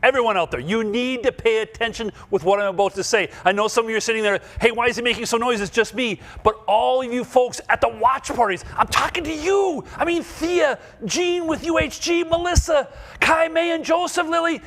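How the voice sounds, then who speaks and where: A man hosting a live gala fundraiser, speaking with excited, urgent, rallying energy. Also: very high voice, a little fast. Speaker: fundraising host.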